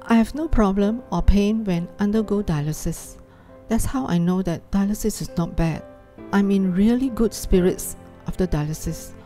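A woman's voice: medium pitch, moderate pace, mellow, soft background music. A woman in her seventies speaking simply and directly as a patient at home. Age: 70s. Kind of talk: interview testimony.

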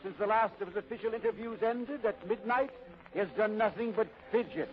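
A man's voice: sits high, fairly quick, natural voice. A man narrating a 1930s radio drama as announcer, formal and declamatory. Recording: muffled vintage radio recording.

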